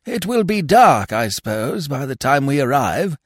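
A man's voice: medium pitch, wildly swooping pitch, measured pace, dry recording, studio quality, pitched above the performer's own voice, full character voice. A man voicing a fussy, absent-minded old lord in an audiobook, with a stammering, blurting way of talking. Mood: eager, regretful.

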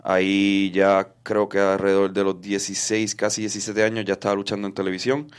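A man's voice: fairly low pitch, monotone, a little fast, clean tone. A young man from Puerto Rico with a Puerto Rican accent, a guest chatting casually in an interview and slipping English words into his Spanish. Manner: mixes English words into Spanish, many fillers. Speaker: guest wrestler.

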